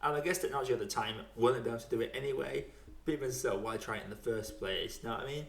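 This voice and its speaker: A young man hosts a film podcast in a loose, chatty way. His voice sits at an average male pitch, and he is informal and quick to chuckle. The recording is noticeably echoey, like an untreated room. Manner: chuckles often while talking, informal swearing. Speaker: podcast host and film reviewer. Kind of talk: casual podcast chat.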